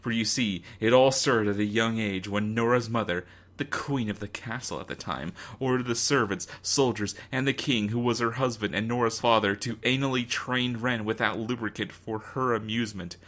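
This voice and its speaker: Low-voiced man narrating dramatically. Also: fairly even intonation, normal speed, hardly any pauses, a bit bright. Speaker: narrator of a fan fiction reading.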